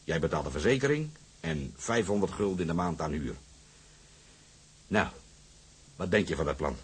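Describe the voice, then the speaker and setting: Gruff old skipper, low and really raspy, bossy but shrewd, period drama. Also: male voice, animated, normal speed, full character voice. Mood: gruff, businesslike. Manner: gruff, hesitant, throat-clearing authority. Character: commanding old skipper, shrewd but generous boat owner. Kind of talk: Dutch period drama acting.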